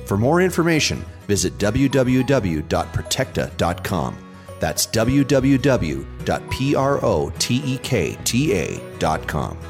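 A man's voice: on the low side, sing-song, measured pace. A man reading scripted promotional narration as an announcer, polished and emphatic. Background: music, guitar.

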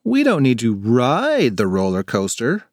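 A man voicing an eager, competitive young kid in an audiobook, earnest and excitable. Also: mid-range voice, a little slow, no room sound, clean studio sound, exaggerated voice.

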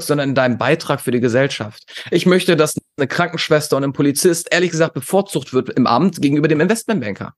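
A man from Germany talking as a podcast guest, giving opinions emphatically and outspokenly with rhetorical questions. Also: a little high. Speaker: podcast guest, conference founder. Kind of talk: opinionated interview talk.

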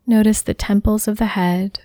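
A woman guiding a body scan meditation in a soft, soothing, unhurried way, with breath at the ends of phrases. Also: medium pitch, steady tone, no room sound. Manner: soothing, unhurried, gently instructing. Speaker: meditation guide.

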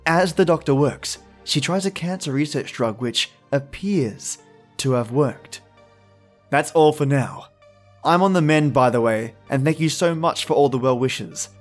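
Male podcast host over soft music. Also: fairly high pitch, measured pace. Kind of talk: casual scripted podcast introduction.

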